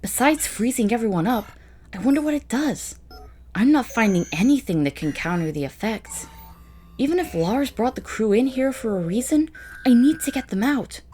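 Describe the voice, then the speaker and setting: Woman acting a bright-pitched, expressive, supportive young woman. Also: moderate pace, lightly acted. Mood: puzzled, determined. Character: supportive, thoughtful, encouraging young woman in a space adventure. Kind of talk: comic dub voice acting.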